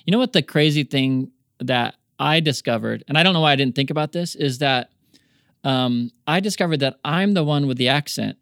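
A man's voice: medium pitch, normal speed, gravelly, fairly bright tone, dry recording, clean studio sound. An American man hosting a podcast interview, chatting casually and joking often. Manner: jokey, laughs often, easygoing. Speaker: podcast host.

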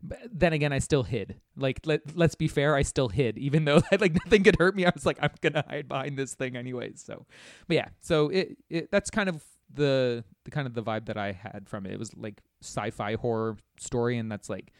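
A man's voice: mid-range voice, brisk, dry recording. Rambling man in a dry, close recording. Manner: rambling, self-deprecating, frequent "like". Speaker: podcast guest co-host. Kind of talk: casual video game discussion.

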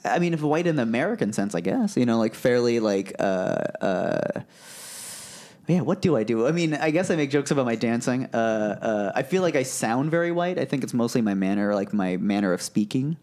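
A man's voice: mid-range voice, animated, fairly quick, darkish tone. A young man, a comedian guest on a podcast, telling stories in a loose, chatty way full of fillers and restarts. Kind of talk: casual podcast chat.

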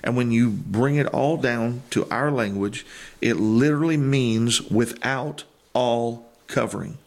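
A man, a holiness preacher, teaching on a Bible passage and explaining word meanings in a deliberate way. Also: medium pitch. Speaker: holiness preacher on another podcast. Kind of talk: teaching scripture on a podcast.

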